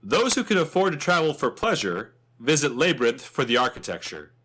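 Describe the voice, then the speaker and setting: A man's voice: on the high side, very animated, fairly quick, pushed and bright, full character voice. A man playing a chatty, wryly humorous travel writer, narrating a fantasy guidebook with theatrical expression. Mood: enthusiastic, descriptive.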